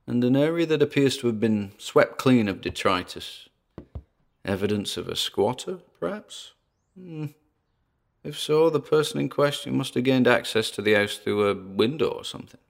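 Casting: male actor for uneasy paranormal investigator in an audio drama, low creaky voice, hesitant found-tape monologue with muttered asides. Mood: curious, observant. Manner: hesitant, muttering observations with sighs and trailing asides.

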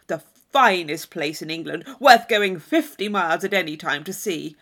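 Woman acting a loud, blustering young man. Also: on the low side, normal speed, pitched below the performer's own voice. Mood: boastful, blustering. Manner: forceful, emphatic, exclamatory.

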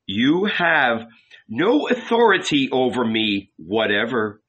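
A dignified, sorrowful holy man in captivity, voiced by a male narrator. He speaks with solemn calm in slow, deliberate phrases, with a clear forward edge, as in a dramatic audiobook. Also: medium pitch, dull audio, heavily acted. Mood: calm, firm.

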